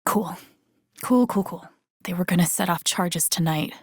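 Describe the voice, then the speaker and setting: An actress voicing a wry, caring young woman in a video game, muttering to herself in a soft, understated, dryly musing way. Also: lowish voice, a little slow, slightly rough, no echo, clean studio sound. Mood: wry, deadpan. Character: young woman, wry, caring, thinking aloud while searching. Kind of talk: video game voice acting, muttered self-talk while exploring.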